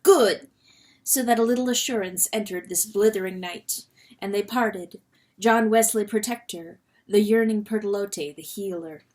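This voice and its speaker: A woman's voice: mid-range voice, moderate pace. Woman narrating an animal tale dramatically. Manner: expressive, suspenseful delivery. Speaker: audiobook reader.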